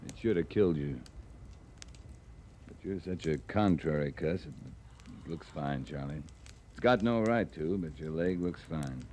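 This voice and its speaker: An actor playing a terse, dry-humored cavalry captain in a 1950s radio western, with a gruff, blunt manner. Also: male voice, rumbling low, lively intonation, measured pace, long pauses, a little mellow. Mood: gruff concern. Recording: old radio recording with background noise.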